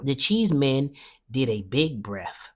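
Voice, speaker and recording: A woman reading a children's story aloud with expressive narration. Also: very deep voice, slow. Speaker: storybook reader.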